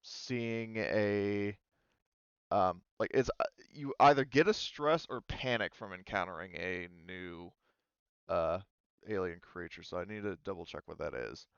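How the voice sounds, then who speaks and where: A man's voice: low-pitched, measured pace, creaky, fairly bright tone, smooth, no room sound, highs cut off. A man running a tabletop game as game master, rambling along with lots of fillers and self-corrections.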